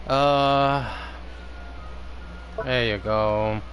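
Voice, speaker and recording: A man doing casual livestream commentary on a game, halting as he stumbles over names and repeats words. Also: medium pitch, steady tone, a bit bright. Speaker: game streamer. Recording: slight background noise on stream.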